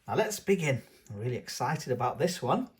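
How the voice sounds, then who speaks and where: A man's voice: medium pitch, very animated, fairly quick. A man hosting a children's read-aloud, narrating a storybook in an enthusiastic manner. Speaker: children's book reader and channel host.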